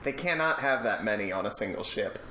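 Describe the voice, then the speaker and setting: A man's voice: on the low side, expressive, fairly quick, pushed and bright. A man recounting a movie in casual, profane podcast banter, going into detail. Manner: swears freely, detailed recounting. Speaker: podcast co-host. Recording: roomy, echoey podcast recording.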